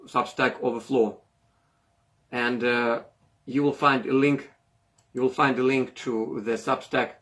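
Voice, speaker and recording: A man from Ukraine talks through an online data seminar at a middle pitch. He speaks with plenty of fillers and self-corrections, pausing as he searches for words in a language that is not his first. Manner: hesitant, many fillers and restarts. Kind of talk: informal online seminar talk.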